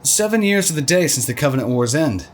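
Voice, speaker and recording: Lively, inquisitive doctor. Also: male voice, on the high side, brisk. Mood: fascinated. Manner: inquisitive, thoughtful. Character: curious, idealistic male doctor, an inquisitive dreamer. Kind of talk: scripted audio drama dialogue.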